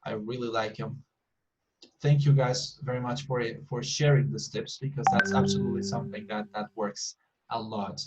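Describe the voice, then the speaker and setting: A man's voice: medium pitch, normal speed, dull audio, background noise. A man teaching an English class, giving eager and emphatic feedback on student tips, often repeating words for emphasis. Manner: energetic, repetitive, emphatic.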